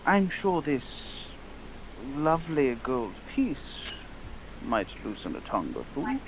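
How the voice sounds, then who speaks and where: A courteous gentleman investigator in a tabletop game played by a man. His phrasing is formal and old-fashioned. He keeps it measured while firmly insisting. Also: fairly high pitch, very animated, a little hiss or hum, heavily acted, rough recording. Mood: insistent.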